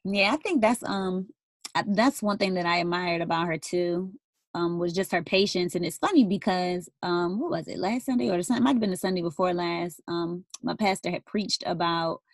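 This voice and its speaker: Young woman, somewhat low voice, hesitant fillers, thoughtful, dry close sound. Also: moderate pace, no echo. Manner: thoughtful, with many fillers and frequent laughter. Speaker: daughter interviewing her mother. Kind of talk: casual family conversation.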